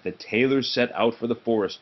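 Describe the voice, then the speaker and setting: A man reading a children's storybook aloud in an expressive narrating style. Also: mid-range voice, fairly quick, lo-fi sound. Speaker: storybook reader.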